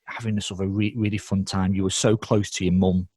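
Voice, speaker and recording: Deep voiced guy hosting a podcast, lots of ums and you knows, dead dry sound. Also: little pitch movement, fast talker, darkish tone, slightly rough, no room sound. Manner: hesitant, filler-heavy questioning. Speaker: podcast host. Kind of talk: interview questions.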